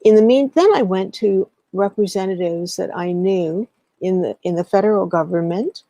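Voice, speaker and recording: A middle-aged woman speaking as an interview guest, recounting past work in an earnest, deliberate way and pausing mid-thought. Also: medium pitch, mellow, no echo. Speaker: guest, historian and educator.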